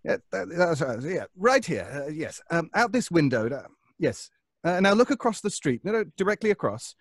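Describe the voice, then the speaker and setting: Flustered, fussy, high-voiced nervous gentleman. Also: very animated, no echo, studio quality. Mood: flustered, urgent. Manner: stammering, flustered, halting. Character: anxious, fussy, hesitant male stage actor neighbour. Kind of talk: old-time radio suspense acting.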